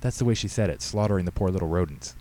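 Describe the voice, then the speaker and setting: A man's voice: low voice, very fast, mellow, natural voice. A man voicing an indignant, defensive teenage girl who protests insistently in an audiobook story. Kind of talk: audiobook character dialogue.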